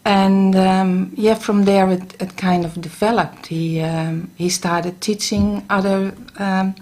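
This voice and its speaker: A middle-aged woman with a Dutch accent speaks slowly and unhurriedly, her pitch sitting in a middle range. She talks haltingly, with frequent ums and restarts. She is a guest in a radio studio. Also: steady tone, darkish tone. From Netherlands. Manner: hesitant, many ums and restarts. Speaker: guest, Reiki practitioner. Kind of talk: casual radio interview.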